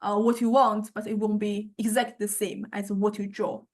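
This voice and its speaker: A woman giving an informal lecture and software demonstration, speaking haltingly with repeated word starts and frequent check-in questions. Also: mid-range voice, level tone. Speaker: music technology lecturer.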